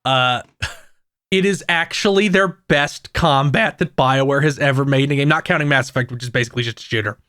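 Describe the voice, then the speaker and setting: A man co-hosting a casual gaming podcast, talking in a rambling way with frequent restarts and quick asides. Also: mid-range voice, pushed and bright, clean tone, no room sound, studio quality. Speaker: podcast co-host.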